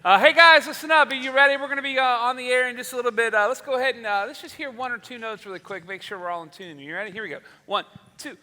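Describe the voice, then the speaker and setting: A man's voice: extremely high pitch, fast, pushed and bright, full character voice. A man playing an easygoing, folksy boss of a live radio show in a stage comedy, chatting with hesitant starts. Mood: upbeat, bustling. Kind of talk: theatrical stage comedy acting. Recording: reverberant hall, live stage sound.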